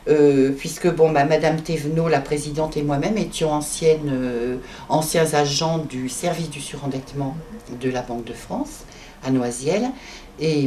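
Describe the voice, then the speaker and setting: A woman's voice: deep voice, warm tone, a little hiss or hum. A woman in her sixties answering interview questions as a volunteer. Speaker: retired volunteer at debt-aid association.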